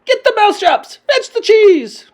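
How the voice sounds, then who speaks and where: A woman voicing a frenzied crowd of female witches, screaming in high, shrill voices. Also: big pitch swings, a little slow, darkish tone, heavily acted.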